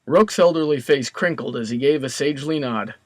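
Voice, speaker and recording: A man's voice: medium pitch, fairly quick, no room sound. A man reading scripted story narration in a dramatic storytelling style.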